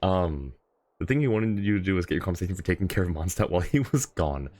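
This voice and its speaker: Low-voiced man chatting on a game stream. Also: expressive, quick pace, darkish tone. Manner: chatty, thinks aloud, reads out chat. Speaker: game livestreamer. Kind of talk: casual livestream chat and game commentary.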